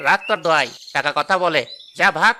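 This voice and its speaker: A man's voice: fairly high pitch, fast, sharp tone, slight background noise. A man voicing a greedy adult male shopkeeper in a cartoon, harsh to the poor and fawning to the rich. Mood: contemptuous. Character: greedy rude adult male lemon seller. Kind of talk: cartoon voice acting.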